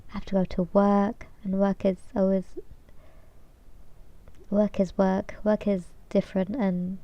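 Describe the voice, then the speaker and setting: A woman's voice: medium pitch, little pitch movement, measured pace, warm tone, no echo, dull audio. A young woman streaming as a VTuber, chatting casually and hesitantly with lots of fillers, her voice nasal from a cold. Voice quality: hesitant, many fillers and restarts. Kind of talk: rambling casual chat.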